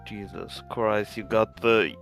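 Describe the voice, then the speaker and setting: A man's voice: lowish voice, fairly even intonation, slow pace, gravelly, fairly bright tone. A man co-hosting a game playthrough, commenting in a casual, joking chat. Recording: faint music behind voice.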